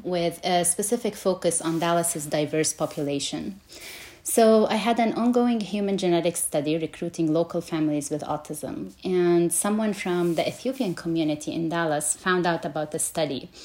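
A woman genetics researcher speaking as an interview guest, explaining clearly with casual "you know" fillers. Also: on the low side, darkish tone.

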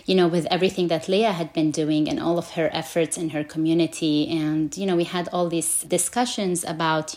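A woman genetics researcher speaking as an interview guest, explaining clearly with casual "you know" fillers. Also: low-pitched, a little mellow.

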